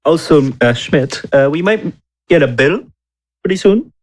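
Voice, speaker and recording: A man takes part in a tabletop roleplay. He has a slightly high voice. His delivery is casual and playful, with a mock-polite turn to it. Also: lively intonation, unhurried, a little mellow. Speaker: tabletop game player.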